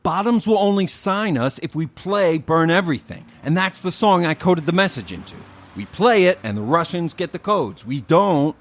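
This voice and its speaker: An actor playing a defiant young punk musician who sells secrets, argumentative and dismissive, in an audio drama. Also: male voice, fairly high pitch, lively intonation, moderate pace, few pauses, lightly acted. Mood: defensive.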